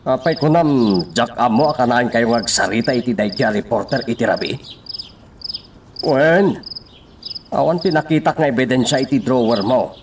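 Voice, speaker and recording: A man's voice: medium pitch, expressive, normal speed, long pauses, recorded from a distance, lightly acted. A man acting a defensive, insistent office co-worker in a radio drama. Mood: confronting. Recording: off-mic, noisy with insect-like sounds.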